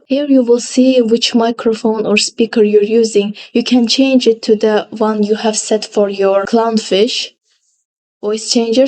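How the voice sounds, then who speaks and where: A woman narrating a casual step-by-step software tutorial as its presenter. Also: on the high side, low-quality recording.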